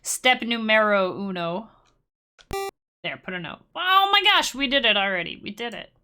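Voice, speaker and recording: A woman's voice: a little high, expressive, normal speed, pushed and bright, a little hiss or hum. A young woman casually teaching music-making on a live stream, rambling and self-deprecating with playful asides.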